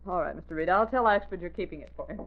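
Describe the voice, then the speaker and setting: An actress playing a teasing, quick-witted office secretary with dry repartee, in 1940s radio drama style. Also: female voice, fairly low pitch, slight background noise, lightly acted. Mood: sympathetic. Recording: narrow, lo-fi old radio recording.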